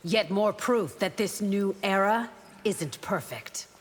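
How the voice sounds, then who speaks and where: A woman playing a proud, devout and fierce bodyguard warrior in a video game, speaking in a formal, defiant, measured way. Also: medium pitch, natural voice. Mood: cool, sceptical. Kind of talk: video game character acting. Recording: long reverb, faint noise behind.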